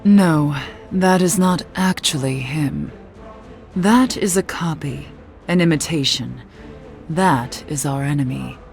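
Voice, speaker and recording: A mysterious young witch, low and slow. She speaks gravely and with quiet drama, like a cursed soul in a fantasy game cutscene, over music. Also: heavily acted. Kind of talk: video game cutscene acting.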